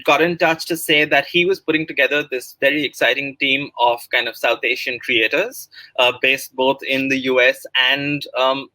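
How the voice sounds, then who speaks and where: Bright-voiced Indian man, halting delivery. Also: medium pitch, steady tone, no room sound, low-quality recording. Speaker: writer. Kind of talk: pre-recorded talk about own project.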